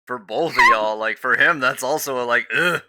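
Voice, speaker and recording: A man's voice: medium pitch, normal speed, sharp tone. A young man chatting casually on a live stream, blunt and slangy, swearing easily.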